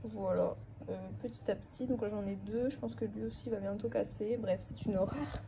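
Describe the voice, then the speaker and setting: A woman's voice: medium pitch, steady tone, normal speed, gravelly, background noise. Woman with a cold, chatty vlogger. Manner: rambling, with frequent hesitations. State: stuffy and hoarse from a cold. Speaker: book vlogger. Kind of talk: casual chatty vlog.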